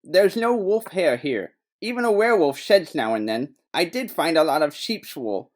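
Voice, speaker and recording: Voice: mid-range voice, normal speed, lightly acted. An actor as a flippant wisecracking detective sidekick. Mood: matter-of-fact, wry. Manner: flippant, deadpan joking. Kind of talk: comic noir audio drama acting.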